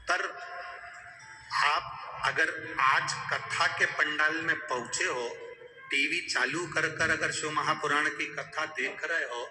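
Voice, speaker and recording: A man's voice: fairly high pitch, echoey room, low-quality recording. A man preaching a devotional sermon, telling a scripture story with fervour, repeating phrases, his voice breaking with emotion. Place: large open-air sermon tent before a crowd.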